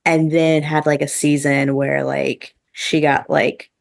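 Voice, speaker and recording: A woman co-hosting a podcast in a casual review chat, with halting, filler-heavy phrasing. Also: low voice, flat delivery, gravelly, dry recording. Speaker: podcast co-host.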